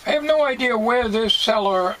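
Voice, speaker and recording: An older man talking casually as he works at a bench in a home workshop, plain-spoken and musing, with halting delivery. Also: very high voice, fairly bright tone. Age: 60s or older. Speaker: hobbyist radio restorer. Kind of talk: casual talking while working. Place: cluttered home electronics workshop, indoors. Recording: noisy home workshop recording.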